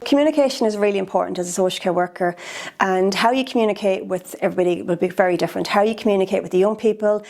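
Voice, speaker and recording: A woman explains care work in an interview. She talks quickly in a mid-pitched voice with plenty of vocal fry. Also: a little mellow. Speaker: social care worker.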